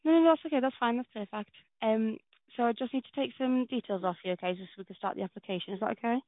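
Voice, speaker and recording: A woman's voice: a little high, fast, dry recording. Welsh woman caseworker, courteous and brief, on a thin, dead-sounding phone line. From Wales. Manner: polite, apologetic, brief replies. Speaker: caseworker taking an application call. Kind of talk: phone conversation. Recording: tinny dry phone line.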